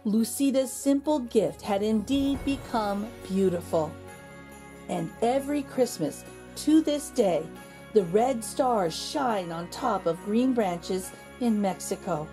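A middle-aged woman hosting a children's read-aloud, narrating in an expressive, deliberate, teacherly way. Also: medium pitch. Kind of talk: storybook narration. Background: music.

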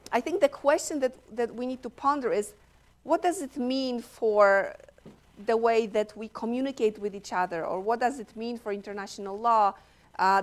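Woman panelist talking slow and careful, thinking out loud at a table. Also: mid-range voice. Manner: measured, thoughtful, slow with pauses. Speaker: panelist, political science professor. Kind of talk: panel discussion answer. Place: stage at a law school panel table.

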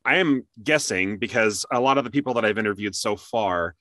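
A man with a lowish, bright and forceful voice hosts an interview. He speaks conversationally, and the sound is dry and close. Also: brisk, no echo. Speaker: interview host.

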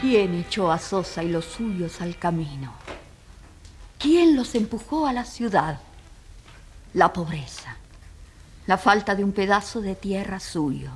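An actress playing a solemn, compassionate narrator at a tribunal, grave and rhetorical in scripted dramatic narration. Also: female voice, mid-range voice, lively intonation, slow pace, pauses often, slight background noise. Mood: solemn, indignant. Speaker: narrator and moderator of a dramatized trial.

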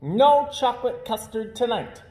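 A stern mother dog from a picture book, played by a man reading aloud. The voice rises high and lands hard on its words as it scolds. There is a roomy echo around it. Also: animated, unhurried, dark tone. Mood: displeased.

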